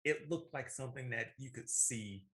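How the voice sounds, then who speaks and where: A man with a bright, forward voice and a middling pitch chats casually as a show co-host. He talks in an informal, conversational way. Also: normal speed. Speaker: co-host of a movie talk show. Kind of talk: casual chat.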